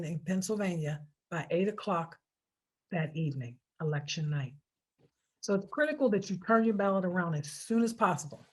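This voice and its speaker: An American woman giving informal, step-by-step public instructions in an emphatic manner, repeating key points to stress them. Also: on the low side. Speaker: presenter of a voter instruction video.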